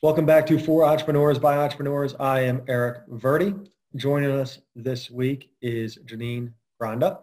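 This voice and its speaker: A man's voice: mid-range voice, steady tone, normal speed, a little mellow. A man hosting an interview podcast, talking casually with a lot of fillers and restarts. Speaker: podcast host. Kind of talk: conversational interview.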